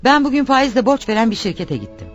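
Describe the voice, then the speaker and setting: An actress playing a nagging, reproachful wife in radio theatre, scolding and insistent with a sarcastic edge. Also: female voice, fairly high pitch, big pitch swings, fast, soft background music. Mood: matter-of-fact. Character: nagging, reproachful wife pushing her jobless husband.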